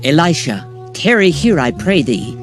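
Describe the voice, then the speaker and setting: Guy doing a grave old prophet, solemn, slow, lively swoops over music. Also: fairly high pitch. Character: aged male prophet Elijah, solemn and grave.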